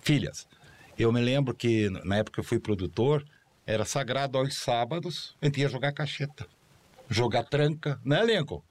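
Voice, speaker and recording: A man speaks as a studio guest and recalls old times with animated fondness. His storytelling rises and falls with feeling as he chats at the table. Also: medium pitch, moderate pace. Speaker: former producer, studio guest. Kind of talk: studio chat.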